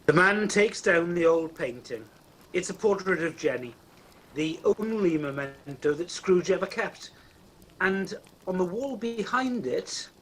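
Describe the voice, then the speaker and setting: A man's voice: high voice, lively intonation, a little slow, a bit bright, exaggerated voice, rough recording. A man playing a wry storytelling narrator in an amateur radio play. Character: wry storybook narrator of a Christmas ghost tale. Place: at home, recorded over video call. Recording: faint noise, home video-call recording.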